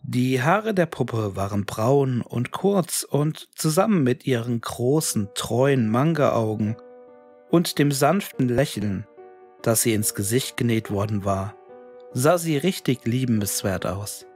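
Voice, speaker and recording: Slow-paced man narrating a horror story. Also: medium pitch, lively intonation, a little mellow, soft background music. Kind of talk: scripted creepypasta narration.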